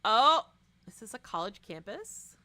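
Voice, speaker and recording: A young woman streamer reading a visual novel aloud expressively, with dramatic, casual, animated asides. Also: on the high side, measured pace, no room sound.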